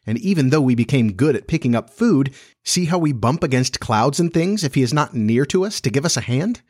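A man's voice: mid-range voice, animated, a little fast, darkish tone, no room sound, clean studio sound, voice pushed up, lightly acted. A man voicing an earnest, anxious young girl, a bossy elder sister in a storybook, with an insistent, reproving manner.

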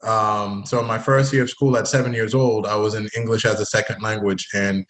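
A man's voice: fairly low pitch, little pitch movement, moderate pace, fairly bright tone. A man hosting a podcast, chatting casually and teasing his old college friend. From Haitian family, grew up partly in Canada. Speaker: podcast host. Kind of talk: casual interview chat.

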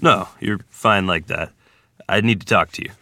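A man acting an earnest, awkward rural Missouri ferryman groom from the 1920s at a screenplay table read, plain-spoken and halting. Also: low voice, bright, forceful tone, no room sound, clean studio sound, heavily acted. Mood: uneasy, earnest.

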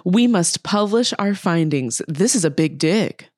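A woman's voice: fairly low pitch, animated, unhurried, a little mellow. A woman voicing a grandiose archaeologist who declaims in old-fashioned phrases for a comedy sketch read. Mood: grand, earnest. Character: grandiose archaic-speaking archaeologist, solemn about ancient finds.

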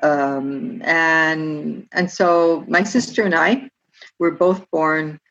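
A woman from Southern California, a historian, answering interview questions in a halting way with many restarts. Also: deep voice, fairly bright tone, lo-fi sound. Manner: halting, with frequent restarts and filler words. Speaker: guest historian and guide. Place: remote, home in Palermo.

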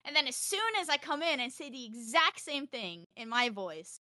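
A young woman from Texas chatting on a podcast, loud and animated, telling stories fast with a close mic. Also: sits high, dry recording. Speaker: podcast guest, streamer. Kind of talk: casual podcast chat.

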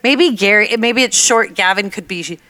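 A woman's voice: medium pitch, moderate pace, sharp tone, no room sound. A woman co-hosting a casual podcast, with a nasal voice and a giggly, playful way of talking. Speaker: podcast co-host.

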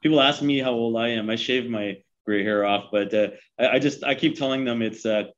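Halting man in his forties, muffled audio. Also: medium pitch. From Sri Lanka. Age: 40s. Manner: halting, many fillers and restarts. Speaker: guest. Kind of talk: casual interview conversation.

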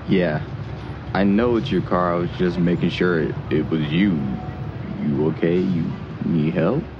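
A man's voice: sits low, takes it slowly. A man acting a casual, concerned character in a scripted audio drama. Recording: muffled, music behind.

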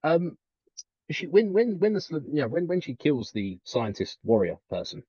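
A man's voice: on the high side, very animated, moderate pace, mellow, husky, no echo, lo-fi sound. A man co-hosting a podcast, talking casually in a rambling, hesitant way. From Wales heritage, family on the south coast. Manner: rambling, hesitant, lots of fillers and restarts. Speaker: podcast co-host. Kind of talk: casual chat.

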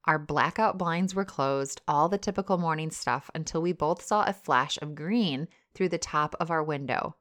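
A woman with a Wisconsin accent chats casually as a podcast co-host. Her voice sits a little low. She slips into reading listener stories aloud. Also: normal speed, hardly any pauses, a bit bright. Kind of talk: casual chat.

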